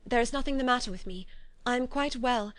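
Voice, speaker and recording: A woman's voice: fairly high pitch, brisk, no room sound, pitched above the performer's own voice, natural voice. A woman reading the part of a distraught young woman, her voice anguished and pleading as if on the edge of tears. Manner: anguished, pleading, breaking with emotion. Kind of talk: audiobook character voice.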